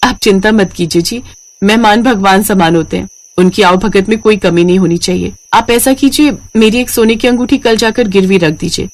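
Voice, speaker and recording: A woman acts out a dutiful middle-class housewife from an audio moral story. She is patient and caring, speaking politely and reassuringly in a mid-pitched voice. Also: moderate pace, close to an everyday voice. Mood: gentle, reassuring. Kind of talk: scripted Hindi moral-story acting.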